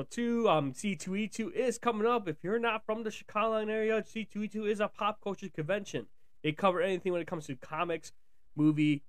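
A man with a noticeably high voice hosts a podcast. He talks casually and informally, addressing listeners directly in a solo monologue. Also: a bit bright. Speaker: podcast host.

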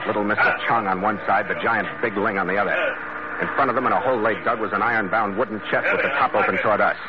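A man's voice: lowish voice, fairly even intonation, fast, close to an everyday voice. An actor playing a tough, commanding sea captain narrating his adventure in terse, hard-boiled old-time radio style. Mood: tense narration. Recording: muffled, noisy old radio recording.

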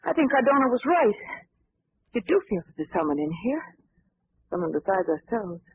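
A woman's voice: medium pitch, big pitch swings, normal speed, breathy edge, phone-quality sound. An actress playing a curious, easily spooked young woman companion in an old radio drama. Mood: uneasy. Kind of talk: 1940s radio acting.

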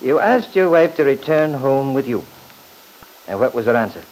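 A man playing an assertive, formal lawyer in an old radio drama, speaking with deliberate emphasis. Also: medium pitch, very animated, a little mellow. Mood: formal, pressing. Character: assertive, formal male lawyer defending his client. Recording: noisy old radio recording.

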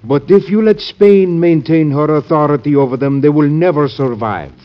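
A man's voice: fairly high pitch, normal speed, close to an everyday voice. An actor playing a humble, fervent islander who pleads for his people, with solemn, careful, formal diction in classic radio drama style. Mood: earnest pleading. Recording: dull band-limited old radio recording.

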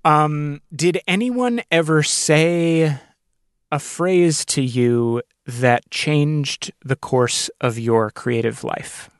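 A man's voice: fairly high pitch, extremely slow, clean tone, no echo, studio quality. A man hosting a podcast, speaking conversationally in an earnest, appreciative way. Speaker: podcast host.

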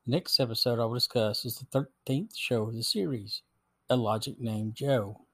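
A man with a mid-pitched voice hosts a review show. He reads prepared commentary as narration, summarizing and assessing as he goes. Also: steady tone, normal speed, husky. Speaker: podcast host and reviewer.

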